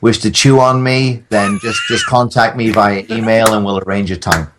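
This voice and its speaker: A man's voice: lowish voice, huge pitch swings, moderate pace, background noise. A man, a podcast guest bantering in a mock-grandiose, wordy, joking way. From England. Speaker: podcast guest, audio drama maker. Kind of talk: comic casual banter.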